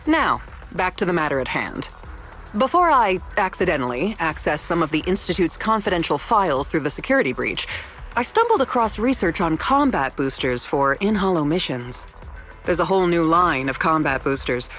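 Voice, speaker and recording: A woman voicing a young female researcher in a video game, speaking formally and precisely with dry, quiet evasiveness. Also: on the low side, sing-song, normal speed, faint music behind. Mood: measured, matter-of-fact. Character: young female researcher, composed, wry, quietly rule-bending. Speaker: researcher character. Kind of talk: scripted video game dialogue.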